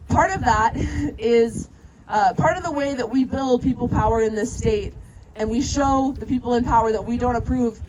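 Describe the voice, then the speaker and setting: A woman giving a fiery rally speech outdoors, calling on the crowd to answer her. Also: fairly high pitch. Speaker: protest speaker, educator organizer. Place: outdoor protest in a city. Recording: noisy outdoor rally recording.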